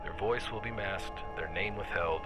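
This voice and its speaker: Male actor as public radio host, lowish voice, measured scripted delivery, conspiracy-minded and composed, music bed. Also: close to an everyday voice. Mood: composed, intriguing. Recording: music behind the voice.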